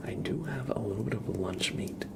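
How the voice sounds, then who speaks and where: A man streaming ASMR live, chatting casually in a gentle, hushed, breathy whisper. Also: lowish voice, animated, moderate pace, heavy background noise.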